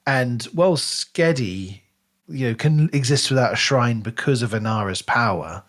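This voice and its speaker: A man's voice: medium pitch, expressive, normal speed, fairly bright tone. Man chatting analytically about books. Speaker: podcast host. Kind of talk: casual book discussion.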